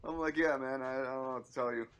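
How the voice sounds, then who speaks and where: A man in his thirties with a medium-pitched voice talks sports on a podcast. He is informal and conversational, making his points emphatically as he banters. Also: fairly even intonation, very fast, a bit bright. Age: 30s. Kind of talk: casual sports podcast chat.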